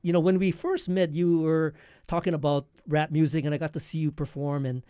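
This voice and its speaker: A male radio host with a fairly high, mellow voice, recorded close and dry. He talks conversationally, feeling his way haltingly toward each question. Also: flat delivery, clean tone, no room sound. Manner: halting, searching for words.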